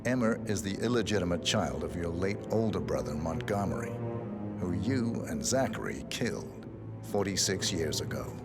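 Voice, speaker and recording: A man's voice: deep voice, lively intonation, moderate pace. An actor playing a cool, methodical male private detective in a video game, speaking in a terse, matter-of-fact way. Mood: calm, grave. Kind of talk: scripted video game dialogue. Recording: loud background music. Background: music.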